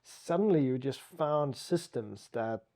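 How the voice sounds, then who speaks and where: Mellow Swedish-accented man telling stories. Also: medium pitch, lively intonation, unhurried. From Sweden. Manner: talks with many restarts and "you know" fillers. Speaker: guest. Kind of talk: relaxed conversational storytelling.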